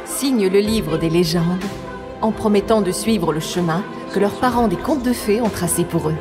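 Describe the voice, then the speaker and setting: A woman's voice: mid-range voice, lightly acted. A woman as a storybook narrator. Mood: storytelling. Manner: storytelling, measured. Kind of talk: scripted narration. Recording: with music behind.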